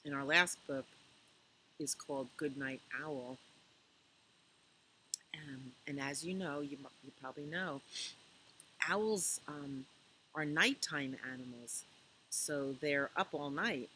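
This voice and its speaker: A woman hosting a library storytime for young children, reading and chatting in a gentle, conversational way with questions for her listeners. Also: low-pitched, slow pace, long pauses, slight background noise, rough recording. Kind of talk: children's picture book read-aloud and chat.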